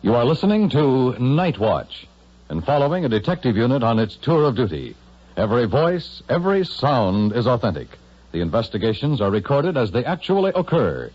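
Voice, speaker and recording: A man with a husky voice delivering a dramatic scripted introduction as a 1950s radio announcer. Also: mid-range voice, very animated, moderate pace, a little mellow. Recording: dull old radio broadcast recording.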